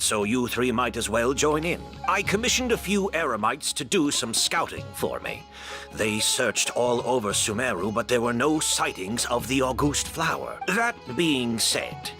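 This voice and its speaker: An actor playing a gracious, apologetic host who explains things politely and considerately. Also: male voice, medium pitch, fairly bright tone. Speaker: hotel owner and host.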